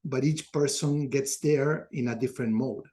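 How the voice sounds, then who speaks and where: A man's voice: medium pitch, moderate pace, warm tone. A middle-aged man from Argentina with an Argentine Spanish accent, answering reflectively as a podcast guest in a conversational way. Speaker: podcast guest, culture consultant.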